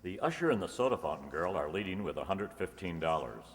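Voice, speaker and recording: A man acting as a polished announcer and sponsor pitchman in an old-time radio show. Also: very animated, fast talker. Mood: announcing. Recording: echoey hall, long reverb.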